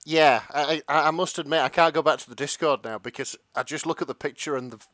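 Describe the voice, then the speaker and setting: A man co-hosting a podcast, chatting in a giggly, excitable way. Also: fairly high pitch, fast, no room sound. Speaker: podcast co-host. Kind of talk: casual chat.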